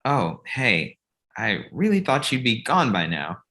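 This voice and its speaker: A man's voice: mid-range voice, big pitch swings, a little slow, sharp tone. A man playing a pushy, earnest, easily flustered filmmaker in a comedic table read.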